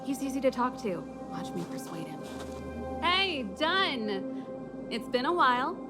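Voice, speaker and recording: A woman's voice: high-pitched, very animated, a little slow, loud music behind the voice. An actress playing a confident, easygoing young woman mechanic and rock musician in a video game, with a breezy, self-assured manner. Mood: breezy, friendly.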